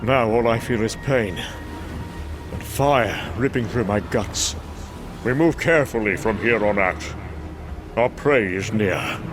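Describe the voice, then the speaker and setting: A man playing gruff, battle-hardened Norse thunder gods in a fantasy audio drama, theatrical and declamatory with archaic phrasing. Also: lowish voice, lively intonation, unhurried, pauses often, music in the background. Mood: gruff, wry.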